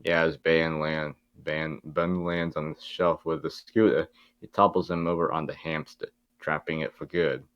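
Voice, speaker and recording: A low-pitched man reads stage directions aloud as narrator of a table read. The sound is close and dry, with little room in it. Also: little pitch movement, normal speed, a bit bright, clean tone, dry recording, muffled recording.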